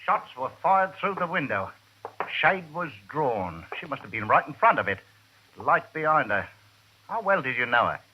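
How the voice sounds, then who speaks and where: An actor playing a stiff, proper British colonial police constable in an old radio drama, formal, clipped and officious. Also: male voice, medium pitch, very animated, normal speed, a little hiss or hum, natural voice. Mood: businesslike. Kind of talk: 1940s-50s radio drama acting. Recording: dull old radio recording.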